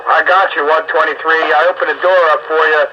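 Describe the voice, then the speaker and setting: Slightly high-voiced man on noisy radio. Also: fairly even intonation, brisk, bright, forceful tone, dull audio. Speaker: radio net host. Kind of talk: casual radio chat.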